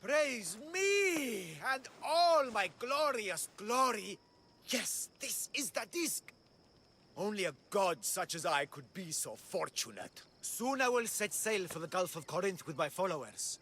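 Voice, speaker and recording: An actor playing a grandiose, deluded self-proclaimed god in a video game, with a pompous, theatrical, declamatory delivery. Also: male voice, high-pitched, big pitch swings, unhurried, sharp tone, heavily acted. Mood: exultant. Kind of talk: video game cutscene acting. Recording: music playing behind the voice.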